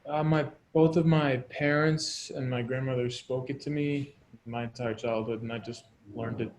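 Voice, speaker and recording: A man's voice: medium pitch, steady tone, moderate pace, darkish tone, a little hiss or hum. A young man, a student, giving brief, plain answers to his professor's questions in class.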